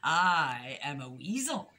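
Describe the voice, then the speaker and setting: A woman voicing a sly, menacing female weasel in a storybook, slow and sinister, with a hissing, raspy whisper. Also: deep voice, big pitch swings, pushed and bright, pitched below the performer's own voice, full character voice.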